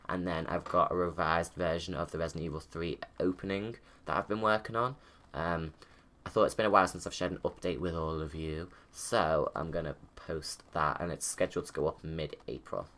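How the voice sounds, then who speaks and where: Low-voiced man giving casual commentary. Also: a bit bright. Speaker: video creator. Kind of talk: casual creator commentary over film. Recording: background film sound under commentary. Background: game movie soundtrack and ambience.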